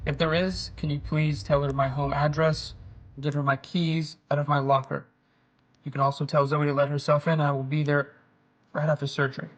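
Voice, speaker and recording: A man's voice: fairly high pitch, flat delivery, normal speed, natural voice, low-quality recording. A man playing a kind, busy surgeon in an audio drama. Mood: brisk, businesslike. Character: kind, busy male surgeon, friendly with kids.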